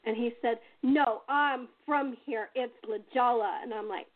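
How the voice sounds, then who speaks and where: A woman from California chats casually in a fairly high voice. She tells anecdotes in a halting, conversational way. The sound is thin and narrow, like a phone call. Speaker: radio playwright in a cast chat. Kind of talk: casual chat, telling family anecdotes.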